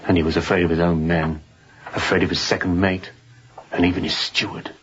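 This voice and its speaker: A very deep-voiced fugitive sailor, played by a male actor, weary and haunted. He speaks in hushed, halting confession, often close to a whisper. The radio drama recording sounds dull and muffled. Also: level tone, noisy background, natural voice. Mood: bitter, haunted. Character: escaped seaman fugitive, weary, haunted, confiding.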